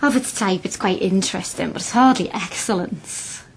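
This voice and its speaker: A woman's voice: mid-range voice, normal speed, natural voice. An actress playing a spirited, earnest young working-class woman student with a blunt, impatient, impassioned manner in a radio drama. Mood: apologetic. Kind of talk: naturalistic radio drama acting.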